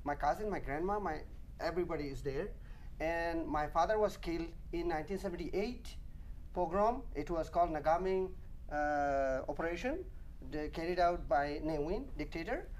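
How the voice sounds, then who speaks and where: A man's voice: a little high, lively intonation, normal speed. Man, earnest activist guest, emphatic listing, studio interview, slight noise underneath. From Arakan, Burma. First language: Rohingya. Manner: earnest, emphatic, piles up lists and repeats points. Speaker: guest, human rights activist.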